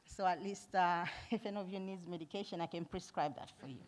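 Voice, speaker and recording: A middle-aged woman from Zambia, a nurse giving a conference talk, earnest and warm, laughing often and pausing with fillers. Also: on the low side, slight background noise. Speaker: nurse and foundation founder speaking at an event. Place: event hall.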